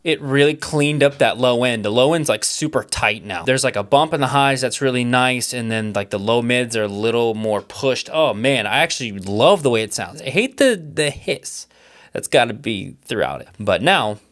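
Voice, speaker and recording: A young man talking casually to camera in a mid-range voice, full of quick reactions and filler words. He sounds keen and curious, narrating each step of his own studio experiment. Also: mid-range voice, expressive, a bit bright, soft background music. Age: young adult. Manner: enthusiastic, thinks aloud, many "like"s and fillers. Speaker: music producer testing cassette tape. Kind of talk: casual vlog commentary.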